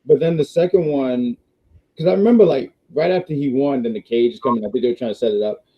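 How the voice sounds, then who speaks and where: A man hosts a live wrestling review stream, talking loosely and often restarting his sentences. His voice is going hoarse, and the sound is dull and lo-fi. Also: medium pitch, fast talker. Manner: rambling, restarts, reads viewer chats. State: losing his voice. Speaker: wrestling review show host.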